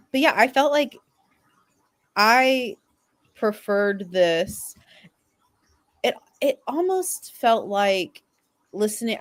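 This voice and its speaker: A woman giving casual, rambling opinions as a panelist, in an informal way full of filler words. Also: mid-range voice. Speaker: fan panelist.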